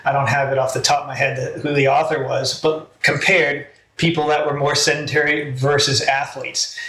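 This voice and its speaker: A man's voice: medium pitch, flat delivery, moderate pace, sharp tone. A man explaining medical matters conversationally, as an expert guest on a health podcast. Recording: roomy echo, faint background noise.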